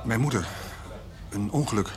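An actor playing a blunt, stubborn farmer with money troubles in a radio play, speaking haltingly. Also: male voice, lowish voice, a crowd in the background, lightly acted. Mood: shaken. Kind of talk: Dutch radio play acting.

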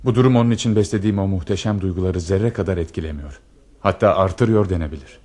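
An actor playing an earnest, lovestruck married army lieutenant in a Turkish radio play, confiding and dramatic. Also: male voice, low voice, quick pace, dark tone. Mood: passionate, confiding. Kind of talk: Turkish radio theatre acting.

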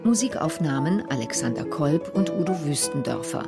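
A woman's voice: deep voice, animated, moderate pace, creaky, over loud music. A woman reading a scripted radio drama announcement as the credits announcer.

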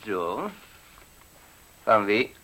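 An actor playing a pompous, curious rich gentleman who orders his butler about in a radio play. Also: lowish voice, sing-song, drawn-out words, full character voice, poor audio. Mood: pompous, intrigued. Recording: hissy 1970s radio play recording.

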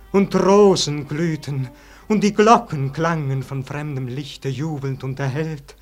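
Melancholy weary scholar, old-school german radio play, really slow lyrical verse, music behind him. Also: male voice, on the high side. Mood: ecstatic. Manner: solemn, lyrical declamation swinging between hushed murmur and fervent swell. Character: melancholy world-weary scholar, rapt by music, longing for lost life. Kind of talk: classic German radio drama, poetic verse monologue.

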